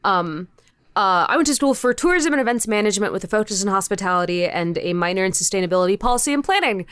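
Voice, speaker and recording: A woman's voice: medium pitch, expressive, quick pace, a bit bright, smooth, no echo, clean studio sound. A young woman chatting casually as a podcast guest, emphatic and openly opinionated, with informal, sweary phrasing. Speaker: podcast guest, indie audio drama creator. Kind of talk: casual interview conversation.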